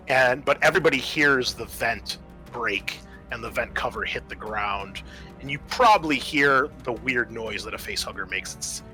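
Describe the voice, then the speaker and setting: A man's voice: medium pitch, bright, forceful tone, poor audio. A man acting as game master, narrating the scene and explaining the rules of a tabletop roleplaying game.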